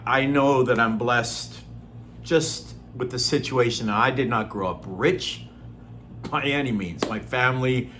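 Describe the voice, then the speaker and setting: A middle-aged man from Norway, born Norwegian-speaking, talking in an earnest, unhurried way with frequent ums and throat clearing in a personal vlog. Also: medium pitch, a bit bright, slight background noise. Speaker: vlogger. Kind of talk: casual personal vlog talk.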